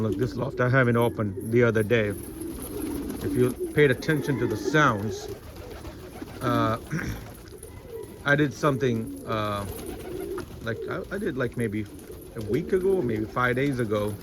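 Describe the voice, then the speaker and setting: Rambling man over loud pigeon noise. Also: medium pitch. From India. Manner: rambling, many fillers and restarts. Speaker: pigeon keeper showing his birds. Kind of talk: casual vlog walkthrough. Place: outdoor backyard pigeon loft. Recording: loud bird noise outdoors.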